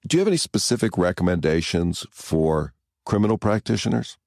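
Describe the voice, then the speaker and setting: A man who practices criminal defense law, hosting a podcast and asking guests questions in a measured, plain way. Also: deep voice, big pitch swings, gravelly, darkish tone, no echo, clean studio sound. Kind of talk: interview questions.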